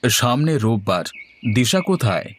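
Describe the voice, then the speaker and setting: A man voicing a calm middle-aged father in a cartoon, speaking with measured authority. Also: mid-range voice. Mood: matter-of-fact. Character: calm, deep-voiced middle-aged father arranging daughter's wedding. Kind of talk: Bengali cartoon dialogue.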